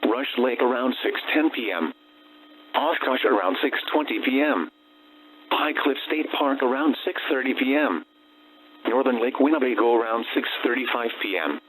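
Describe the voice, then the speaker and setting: A synthetic man's voice reads automated weather warnings as a radio announcer, with flat, formal, segmented delivery. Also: medium pitch, moderate pace, breathy edge. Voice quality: synthetic text-to-speech voice. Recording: noisy, humming weather radio broadcast.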